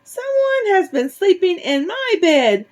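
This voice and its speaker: A woman plays a tiny storybook bear cub with a squeaky, high-pitched voice. He is plaintive and childlike, crying out in upset. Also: very animated, slow, darkish tone, exaggerated voice.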